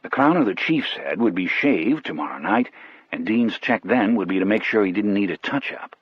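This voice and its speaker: Low, husky male audiobook narrator. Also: lively intonation, moderate pace, no echo. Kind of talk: scripted first-person storytelling. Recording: dry but muffled, dull audio.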